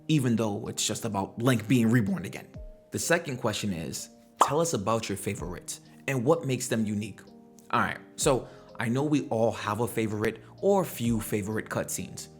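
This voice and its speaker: Guy doing casual gaming commentary, slangy, asks rhetorical questions, music under him. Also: fairly low pitch. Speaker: host of a gaming commentary video. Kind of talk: casual opinionated video commentary.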